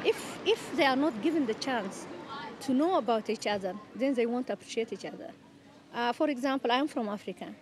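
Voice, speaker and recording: A woman from Eritrea, a former refugee, speaking thoughtfully in an interview with many pauses and fillers. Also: on the high side, expressive, background noise. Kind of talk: interview testimony.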